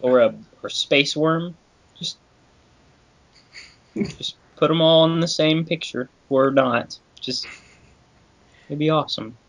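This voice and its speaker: A man's voice: on the high side, unhurried, a bit bright, low-quality recording. A man co-hosting a podcast in casual, offhand chat. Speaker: podcast co-host.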